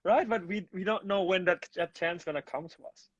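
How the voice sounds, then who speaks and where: A man's voice: high-pitched, brisk, dry recording. Chatty young Thai man, lo-fi stream audio. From Thailand. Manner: chatty, many restarts and "you know" fillers. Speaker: guest, actor. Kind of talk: casual live-stream interview.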